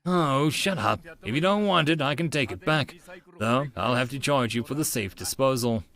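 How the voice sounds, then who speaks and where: A cranky middle-aged workshop boss played by a male narrator, curt and gruff, with folksy slang. He sounds brusque and impatient, like a no-nonsense landlord in an audio story. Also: mid-range voice, lively intonation, normal speed, a bit bright.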